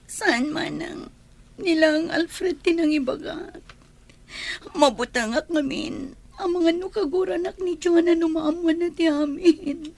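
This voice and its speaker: A woman's voice: very high voice, measured pace, creaky, darkish tone, exaggerated voice. An actress playing a troubled young woman caught in a love triangle, earnest and emotional in a broadcast radio drama. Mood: anxious. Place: radio studio.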